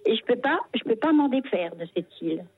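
A woman's voice: a little high, animated, dry recording. Older woman on a muffled phone line. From Paris, France. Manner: halting, phrases broken into short pieces. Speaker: phone-in caller. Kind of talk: personal testimony, reminiscing. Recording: muffled telephone line, husky sound.

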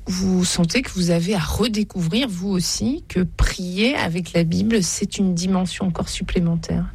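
A woman's voice: lowish voice, moderate pace, a little mellow, husky. A woman hosting a religious radio programme, presenting and putting questions to a guest. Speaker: radio host, interviewer.

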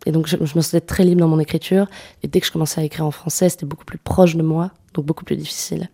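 A young woman singer-songwriter answering interview questions, speaking softly with many hesitations and restarts. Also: low-pitched, steady tone, fast, creaky, husky. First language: French. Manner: soft-spoken, hesitant, many fillers and restarts. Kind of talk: radio interview conversation.

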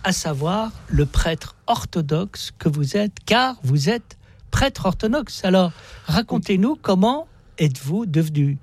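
A man hosts a radio programme with deliberate, emphatic phrasing. His voice has a gravelly fry and he takes his time, captured close and dry. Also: sits high, big pitch swings, dry recording. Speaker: radio host. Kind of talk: radio monologue and interview.

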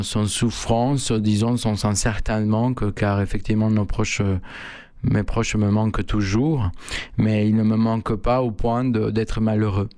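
Man, flat gravelly voice, halting answers, dry sound. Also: fairly low pitch, no room sound. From Mexico. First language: Spanish. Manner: halting, many fillers and restarts. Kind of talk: hesitant interview answers.

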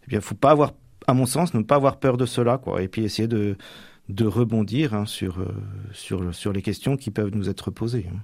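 A young man from Normandy, a deacon about to become a priest, answering radio interview questions with many pauses and restarts. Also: fairly low pitch, a little mellow, no room sound. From Normandy, France. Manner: hesitant, many fillers and restarts. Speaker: deacon soon ordained priest.

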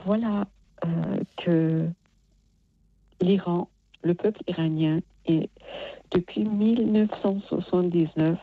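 A woman's voice: lowish voice, steady tone, no echo. Woman caller on a tinny phone line. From Iran. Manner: halting, repetitive, earnest.

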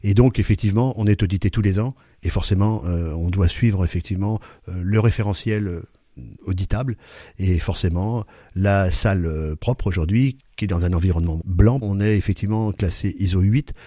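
Low, dark-voiced man, halting. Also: no room sound, clean studio sound. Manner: hesitant, many fillers and restarts. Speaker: company executive, guest. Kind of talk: interview answers.

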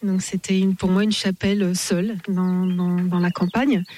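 A woman's voice: fairly low pitch, level tone, moderate pace, mellow, a little hiss or hum. Hesitant Parisian woman. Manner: hesitant, many fillers and restarts. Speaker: interview guest. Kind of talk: casual outdoor interview. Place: outdoors at a building site.

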